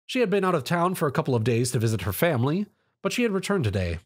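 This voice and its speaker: A man reading an action story aloud as a scripted narration in an animated way. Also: a little high, quick pace, slightly rough.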